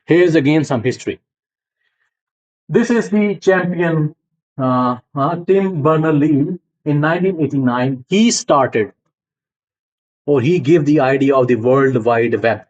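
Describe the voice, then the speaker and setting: A man's voice: a little high, unhurried, a little mellow, muffled recording. A middle-aged man from Punjab with a Punjabi accent, giving a university lecture and explaining ideas step by step while checking in with his students. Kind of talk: classroom lecture.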